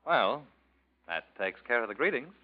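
A man's voice: mid-range voice, sing-song, normal speed. An actor playing a cynical, bitter young male artist in a 1940s radio drama, sardonic and clipped, on a tinny old recording.

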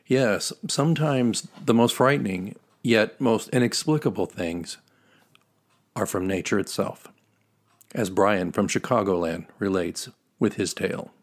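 A man's voice: fairly low pitch, a little slow. A man introducing scary stories as a podcast host in a scripted style.

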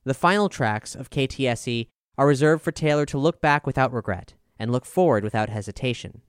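A man with a smooth voice narrating a scripted music review as a voice-over. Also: mid-range voice, brisk, dry recording, studio quality.

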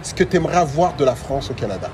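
A man hosting a podcast, speaking informally and teasingly in a quick-fire interview style. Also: on the high side, expressive, noisy background. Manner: informal, teasing, jokes along with the guest.

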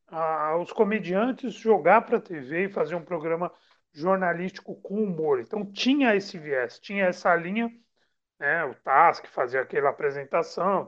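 A man's voice: high voice, a bit bright. A man interviews guests in a loose, conversational style, leading up to his questions. The recording of his voice is a bit dull and band-limited. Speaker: host, interviewer.

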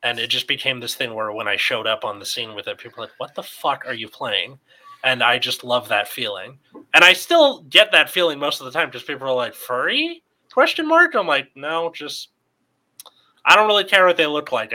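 A man's voice: mid-range voice, sing-song, moderate pace, bright, forceful tone, poor audio. A man in his late twenties hosting a podcast in a loose, rambling chat full of fillers. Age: 20s. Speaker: podcast host. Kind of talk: casual chat.

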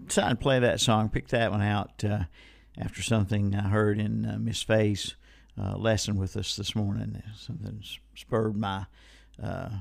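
A man's voice: fairly low pitch, little pitch movement, normal speed, gravelly, no echo, studio quality. A man hosting local radio and reading ads in a folksy manner. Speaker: radio swap shop host and ad reader. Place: radio studio.

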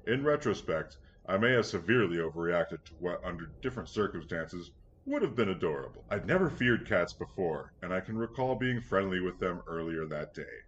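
Male story narrator: deep, measured, earnest; muffled recording with audible background noise. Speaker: story reader. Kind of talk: scripted first-person story narration. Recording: dull lo-fi sound, clear background noise.

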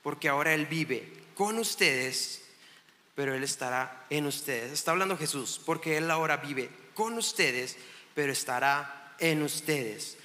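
A man's voice: on the high side, measured pace, sharp tone. A man in his thirties from Chihuahua, Mexico, with a northern Mexican accent, preaching a casual sermon in a church and often restarting his sentences. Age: 30s. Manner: chatty and self-joking, with many restarts. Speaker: guest preacher. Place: church hall. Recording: echoey hall with long reverb.

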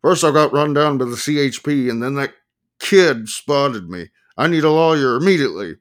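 Frantic, profane fugitive man for an audiobook acted by a man, paranoid and ranting, pitched above normal. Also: normal speed, fairly bright tone, natural voice. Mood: furious, desperate. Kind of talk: audiobook character voice.

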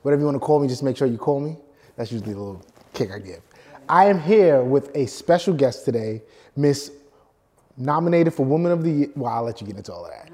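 A man hosting his podcast, a realtor by trade, who chats in an upbeat way and keeps up a steady stream of encouraging replies. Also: medium pitch, fast talker, in a large hall. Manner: upbeat, chatty, eager affirmations.

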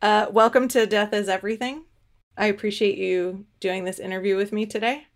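A woman's voice: medium pitch, fairly even intonation, a little fast. A woman hosting a podcast, chatting easily and laughing often during an interview over a video call. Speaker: podcast host.

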